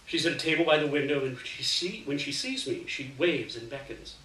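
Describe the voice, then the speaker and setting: A man reading a first-person short story aloud in an expressive, dramatic way. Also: a little high, fairly quick. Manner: dramatic, animated delivery with acted dialogue. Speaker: reader of a short story to an audience. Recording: roomy, distant mic, faint noise.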